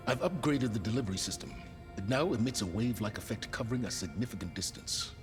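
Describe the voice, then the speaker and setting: An actor playing a cold, calculating schemer in a video game, speaking in measured, formal, condescending phrases. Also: male voice, fairly low pitch, animated, close to an everyday voice. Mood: calculating, proud. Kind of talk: video game dialogue acting. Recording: music behind the voice.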